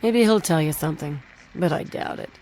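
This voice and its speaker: A woman as a low-voiced, jaded saloon woman. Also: a little fast, a little mellow, a little hiss or hum, natural voice, low-quality recording. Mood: wry, resigned. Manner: world-weary, blunt, familiar. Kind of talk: video game character dialogue.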